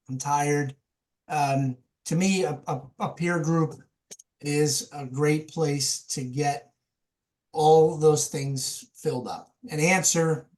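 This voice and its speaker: A man's voice: a little high, darkish tone. A man from Connecticut hosting a casual video call discussion, enthusiastic and often repeating his phrases. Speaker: host.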